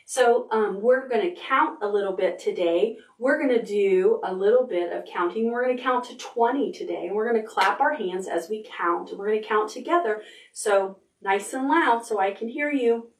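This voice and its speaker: A woman librarian leads a preschool storytime in a roomy, echoing space, her voice sitting a little back from the camera mic. She speaks in a cheerful, encouraging, child-directed way at an ordinary mid pitch. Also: recorded from a distance. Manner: cheerful, slow and encouraging, talking to small children. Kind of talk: preschool storytime, reading aloud and counting with kids. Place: library room.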